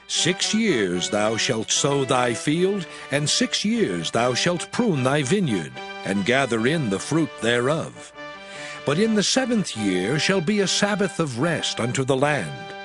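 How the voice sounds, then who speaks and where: A man playing a solemn lawgiver, pronouncing divine statutes in a measured, declamatory dramatized scripture reading. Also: mid-range voice. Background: music.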